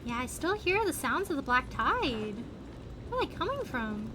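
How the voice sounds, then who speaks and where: A woman giving casual livestream commentary on a video game in an animated manner. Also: sits high, moderate pace, noisy background. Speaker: livestream host. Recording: game music under the voice. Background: video game music.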